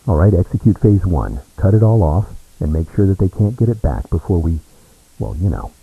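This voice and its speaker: A man playing a commanding, secretive resistance leader in a dramatic scenario, with a husky voice and dull lo-fi sound. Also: sits low, sing-song, normal speed, exaggerated voice. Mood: cold command. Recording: dull muffled lo-fi, close and dry.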